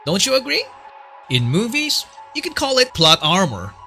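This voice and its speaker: A man's voice: sits high, huge pitch swings, normal speed, pushed and bright, soft background music. A man narrating a fan-made documentary from a script, in a chatty way that asks the viewer questions.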